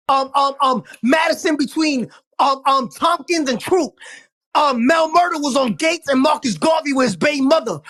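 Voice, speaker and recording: A woman's voice: sits high, a bit bright, dry recording, low-quality recording. A woman telling a heated street story, emphatic, accusing and repeating herself.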